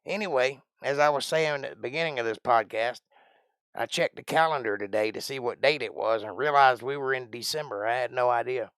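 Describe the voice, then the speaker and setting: Streamer, man, 40s; gravelly, folksy rambler; dry close mic. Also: mid-range voice, brisk, fairly bright tone, dry recording. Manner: rambling, folksy, thinks aloud. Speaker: live stream host. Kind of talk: casual chat answering live chat.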